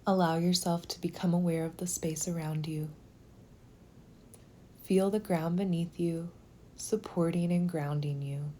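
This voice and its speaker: Low, mellow woman guiding a meditation. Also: fairly even intonation, slight background noise. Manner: soothing, slow, gentle, with breathy near-whispered phrase ends. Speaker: guided meditation teacher. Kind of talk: guided meditation narration.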